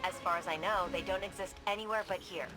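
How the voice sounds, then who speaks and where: An actress voicing a confident woman researcher guiding the player in a game, with a brisk, briefing tone. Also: on the low side, fairly even intonation, loud music behind the voice, natural voice. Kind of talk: video game dialogue.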